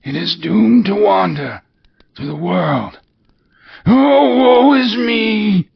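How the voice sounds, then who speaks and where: Wailing chained ghost. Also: male voice, lively intonation, takes it slowly, creaky, exaggerated voice. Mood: mournful wailing. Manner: high quavering wail, rising to cries. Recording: dull lo-fi recording with faint hiss.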